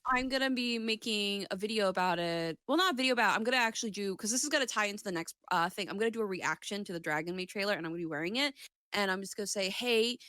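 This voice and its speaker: A woman co-hosting a podcast in casual chat, excitable, chatty and full of slang. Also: mid-range voice, quick pace, no room sound. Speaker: podcast co-host.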